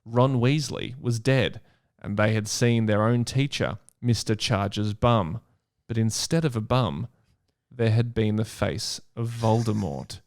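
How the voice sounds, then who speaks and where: A man's voice: on the low side, slow. A man chatting casually and reading a story aloud with comic intent on a podcast.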